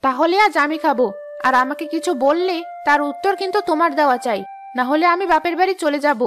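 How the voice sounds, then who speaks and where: A woman voicing a resentful, sharp-tongued young daughter-in-law in a cartoon moral story, complaining and defiant. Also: sits high, fast talker, slight background noise, natural voice. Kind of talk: animated moral story voice acting.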